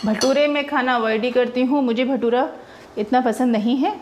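A woman's voice: on the high side, fairly even intonation, moderate pace, background noise. A woman narrating her own cooking video step by step, chatty and homely, explaining each step as she cooks in her home kitchen. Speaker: cooking vlogger.

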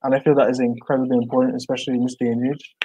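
A young man from Barbados speaks in a mid-pitched voice, earnest and thoughtful, circling back and restarting his phrases as he works out his thoughts. He is on a video call through earbuds from a small room at home. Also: level tone, very fast, warm tone, a little hiss or hum, poor audio. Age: young adult. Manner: earnest, reflective, many likes and restarts. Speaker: artist guest. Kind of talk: casual video call interview.

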